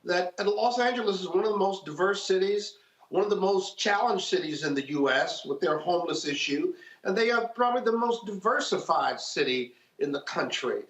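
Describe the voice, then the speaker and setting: A middle-aged man giving political commentary as a panelist, deliberate and emphatic, repeating phrases for weight. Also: high voice, lively intonation, fairly bright tone. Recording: dull, roomy remote call sound.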